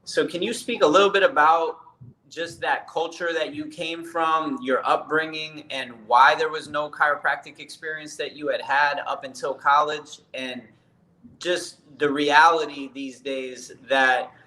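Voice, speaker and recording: Man, 30s, interviewer, bright, thoughtful, video call, roomy echo. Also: fairly high pitch, steady tone, unhurried. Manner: thoughtful, long winding questions. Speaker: interview host. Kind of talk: casual interview questions. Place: home room, indoors. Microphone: laptop webcam video call. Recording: echoey room on video call.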